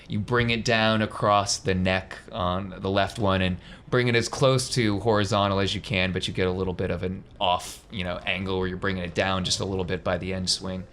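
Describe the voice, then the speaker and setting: A man's voice: low-pitched, little pitch movement, brisk, few pauses, fairly bright tone, slight background noise. A man acting as game master, improvising vivid, descriptive narration for a tabletop game.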